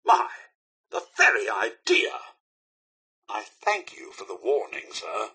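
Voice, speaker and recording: Animated British robot butler. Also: male voice, medium pitch, slow, slightly rough, heavily acted. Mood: politely reserved. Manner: prim, formal, theatrically courteous. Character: polite, fussy, loyal male robot butler. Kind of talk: video game character dialogue.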